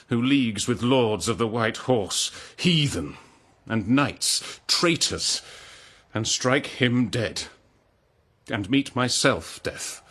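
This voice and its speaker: A man playing a betrayed, noble king in a classical verse drama, solemn and declamatory, with long pauses. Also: fairly low pitch, a bit bright, heavily acted. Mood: resolute. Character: betrayed noble old-world king, anguished, forgiving husband.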